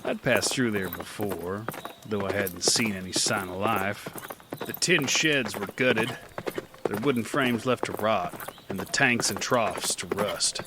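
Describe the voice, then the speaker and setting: A man's voice: on the low side, background noise, natural voice. A man narrating and playing a rugged, weary gunslinger in an audio drama, with a dry, terse delivery. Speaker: narrator and lead.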